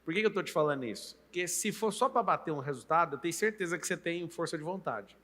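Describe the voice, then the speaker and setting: A man's voice: a little high, lively intonation, quick pace, fairly bright tone, big-room sound. A Brazilian man in his late thirties hosting an interview, talking in an emphatic, coaching way and often turning to address the viewer. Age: late 30s. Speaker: podcast host and coach. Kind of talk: motivational interview talk.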